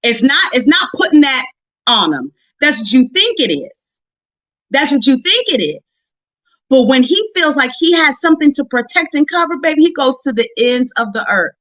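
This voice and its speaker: A woman's voice: high voice, big pitch swings, normal speed, fairly bright tone, no room sound, muffled recording. A woman giving a motivational sermon on a live stream, talking directly and conversationally to women in a folksy, emphatic way and repeating phrases for effect. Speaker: guest preacher and motivational Bible teacher.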